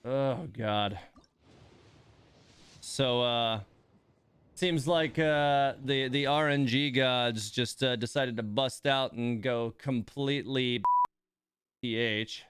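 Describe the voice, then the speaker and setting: A man's voice: mid-range voice, takes it slowly, long pauses, a bit bright, smooth, no echo. A man hosting a game livestream, chatting casually and grumbling in exasperation as he plays. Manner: exasperated grumbling with mild swearing and sighs. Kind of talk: casual livestream chat and reactions while playing.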